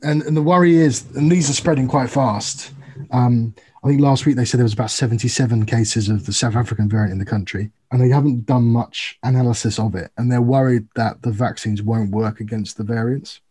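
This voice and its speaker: Mellow, husky guy. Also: mid-range voice, brisk. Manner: earnest, hedging, explains with examples. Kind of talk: casual discussion.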